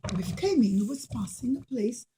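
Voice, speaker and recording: High-pitched woman telling stories to children. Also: moderate pace, a little hiss or hum. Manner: simple, expressive, encouraging teacher talk. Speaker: children's storyteller and puppeteer. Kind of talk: animated storytelling to children.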